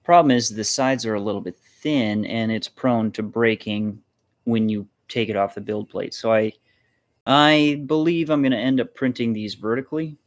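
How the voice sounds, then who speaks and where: A man casually hosting a hands-on demonstration, talking haltingly and muttering to himself as he works. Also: medium pitch. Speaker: vlog host, maker showing designs.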